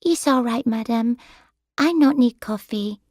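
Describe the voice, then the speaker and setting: A woman reading the part of a young carer who speaks gentle, apologetic broken English, pitched higher than her narration. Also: measured pace, no room sound, studio quality. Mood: polite.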